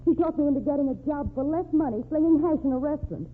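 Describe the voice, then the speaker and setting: A woman's voice: high-pitched, fast, natural voice. An actress playing a loyal, determined young American working girl in an old crime radio drama, earnest and plain-spoken. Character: loyal, determined working-class young woman, gangster's sweetheart. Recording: tinny noisy old radio recording.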